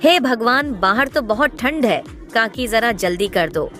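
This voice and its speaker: A woman voicing a young villager who talks in a hurried way, in an animated story. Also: a little high, soft background music, voice pushed up.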